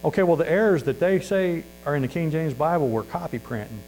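A man's voice: a little high, expressive, brisk, a little mellow, poor audio. A man with a Missouri accent preaching a sermon in a folksy, earnest way, full of fillers and restarts. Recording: faint mains hum.

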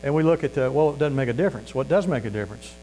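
A man's voice: medium pitch, expressive, racing, mellow, rough recording. Earnest folksy preacher, a man with a Missouri accent and a mid-range voice, with a light mains hum on the track. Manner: folksy and earnest, many fillers and restarts. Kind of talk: sermon.

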